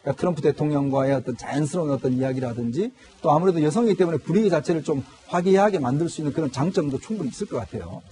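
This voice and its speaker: A man speaks as a news panel commentator. His voice sits a little high, with a soft, dark edge and a rough, husky grain, and he moves along quickly.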